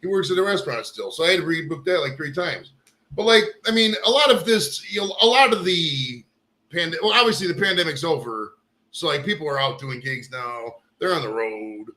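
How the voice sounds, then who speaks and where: Brash, bright-voiced male podcast host. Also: on the high side, lively intonation, a little fast, rough recording. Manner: loose and profane, says bro and dude. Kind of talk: casual chat.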